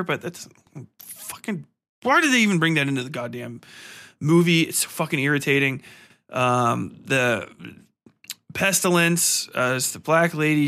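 A man's voice: on the high side, sharp tone. A man hosting a podcast, giving a casual, irritated film review with blunt, constant swearing. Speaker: podcast host.

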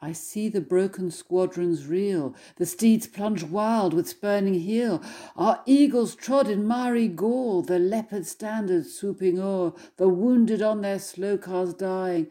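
A woman's voice: medium pitch, slow, few pauses, dark tone. A woman scholar giving a literary introduction and poetry reading in an articulate manner.

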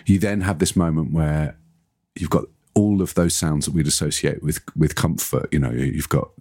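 A man's voice: a bass voice, steady tone, normal speed, a little mellow, husky, no echo, studio quality. A man in a casual, analytical podcast conversation, speaking reflectively and searching for his words as he explains. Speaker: podcast discussant, audio storyteller.

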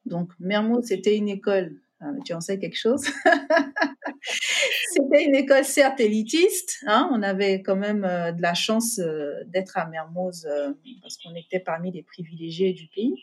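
A woman's voice: medium pitch, lively intonation, measured pace. A middle-aged woman, a guest in a remote interview, talking in a hesitant way with many fillers and restarts. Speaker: podcast guest, entrepreneur. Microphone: remote call.

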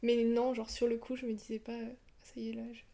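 A young woman co-hosting a podcast, chatting in a relaxed, hesitant way with fillers. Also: on the high side, fast, vocal fry. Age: young adult. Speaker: podcast co-host. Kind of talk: casual chat.

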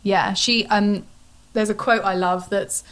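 A woman's voice: mid-range voice, fairly even intonation, unhurried, a bit bright. Chatty British woman on a podcast. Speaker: podcast co-host. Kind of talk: casual book discussion.

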